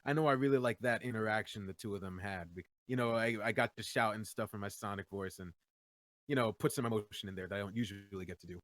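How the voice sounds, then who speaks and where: A man's voice: fairly low pitch, fast talker, dry recording. A man who does amateur voice acting, talking casually and tentatively in a group Q&A, thinking aloud. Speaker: amateur voice actor in a comic dub.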